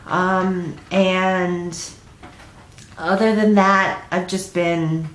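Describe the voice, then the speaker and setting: A woman's voice: on the low side, fairly even intonation, very slow, echoey room, a little hiss or hum, rough recording. A middle-aged woman with a stuffy cold, chatting at home in a rambling, casual way with lots of ums as she hosts her stitching vlog. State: congested with a cold. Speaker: flosstube host. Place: at home, indoors.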